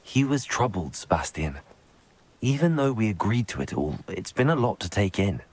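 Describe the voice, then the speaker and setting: Calm, low-voiced British student wizard. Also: male voice, normal speed. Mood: reassuring. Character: calm, persuasive young male student wizard. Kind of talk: video game voice acting.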